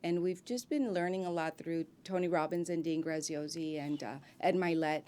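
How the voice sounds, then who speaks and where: A woman's voice: deep voice, steady tone, moderate pace, a little hiss or hum. Effusive woman chatting with many ums. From grew up in Miami, United States. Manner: warm, effusive and talkative, with frequent ums and restarts. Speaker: actress guest. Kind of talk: casual interview chat.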